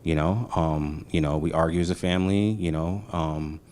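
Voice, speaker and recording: Deep-voiced Brooklyn man in his forties. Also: normal speed. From Brooklyn, New York. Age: 40s. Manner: relaxed, slangy, many fillers. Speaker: guest. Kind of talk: casual interview chat.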